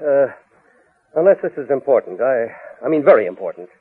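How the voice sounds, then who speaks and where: Flustered police inspector, 1940s radio drama. Stammering, exasperated, husky and swooping; narrow vintage recording. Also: male voice, mid-range voice, sing-song, brisk, dry recording. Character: flustered, weary, embarrassed police inspector, middle-aged. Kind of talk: 1940s radio serial acting. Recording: thin, band-limited vintage radio recording.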